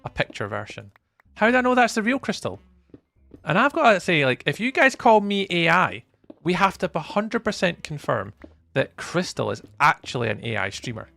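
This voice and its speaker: A young Scottish man from the east coast, live-streaming and chatting to viewers in a teasing, up-and-down way, from loud bursts to low mutters. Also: very animated, normal speed, vocal fry, soft background music. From east coast of Scotland. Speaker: livestreamer.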